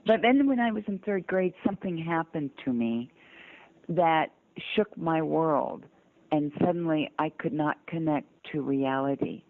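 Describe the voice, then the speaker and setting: A woman's voice: deep voice, animated, unhurried, no echo. Older woman on a narrow phone line. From southern United States (Georgia, North Carolina). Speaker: interview guest. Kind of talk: personal storytelling in conversation. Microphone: telephone.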